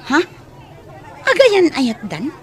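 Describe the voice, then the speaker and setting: A woman's voice: high-pitched, wildly swooping pitch, takes it slowly, some chatter behind, full character voice. A woman with an Ilocano accent acting a blunt, money-minded servant in a radio comedy drama. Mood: excited, nosy.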